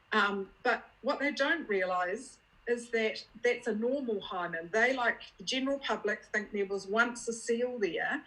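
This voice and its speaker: Bright-voiced New Zealand woman explaining. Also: fairly high pitch, low-quality recording. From Scotland. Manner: explanatory, plain-spoken, uses props and checks understanding. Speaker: guest speaker, child abuse unit clinician. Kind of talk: educational online talk.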